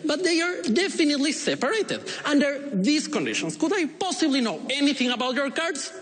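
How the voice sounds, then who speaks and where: A man from Ecuador with a Latin American Spanish accent, speaking as a stage magician to volunteers, deliberate and polite with playful, pointed pauses. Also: very high voice, very animated, a bit bright. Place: TV show stage in a large hall. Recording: echoey stage hall with noise.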